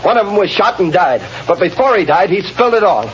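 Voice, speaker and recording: An actor playing an urgent prison messenger in an old radio drama, excited and colloquial. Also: male voice, sits high, fast talker. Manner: breakneck, excited, colloquial delivery. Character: urgent male prison messenger bringing a reprieve. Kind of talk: 1930s radio drama acting. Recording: dull, hissy vintage radio broadcast.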